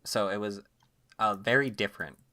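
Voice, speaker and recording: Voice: low-pitched, moderate pace, bright, forceful tone. A podcast co-host chatting informally about games and anime, sounding amused at their own remarks.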